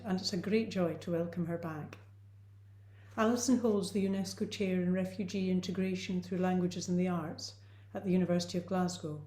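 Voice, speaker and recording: A woman host giving a measured formal introduction of the guest over a video call. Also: on the low side, mellow. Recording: echoey room.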